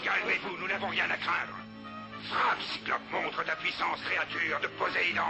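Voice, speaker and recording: A man voicing a fanatical blind old high priest who intones prayers in a creaky, declamatory voice. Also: steady tone, normal speed. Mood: commanding. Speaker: cult leader of the Cyclops worshippers. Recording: loud music behind, voice from afar.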